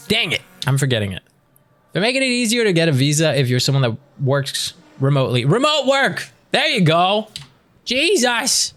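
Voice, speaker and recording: A man's voice: a little high, very animated, moderate pace, a bit bright, soft background music. A man chatting casually with his live-stream viewers before starting a game, reading their messages aloud and answering them.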